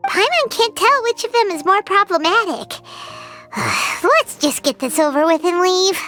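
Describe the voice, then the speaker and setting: A woman's voice: very high voice, animated, unhurried, sharp tone, full character voice. A woman voicing a tiny, chatty fairy companion who refers to herself in the third person, in a game cutscene. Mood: excitable. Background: game music.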